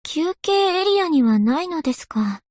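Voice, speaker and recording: A woman's voice: extremely high pitch, sing-song, moderate pace, darkish tone, dry recording. A woman voicing a polite, scholarly young historian in a game, speaking with formal, refined courtesy. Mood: courteous, gentle. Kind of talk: scripted game character voice lines.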